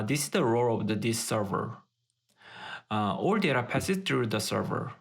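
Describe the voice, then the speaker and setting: Creaky-voiced man explaining tech. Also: medium pitch, fairly bright tone. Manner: methodical, step-by-step. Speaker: tech tutorial presenter. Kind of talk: explanatory tutorial narration.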